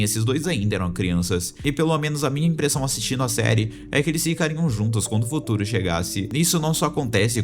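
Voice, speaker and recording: A man with a Brazilian accent narrating a casual opinion video essay as a channel host. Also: medium pitch. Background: background music.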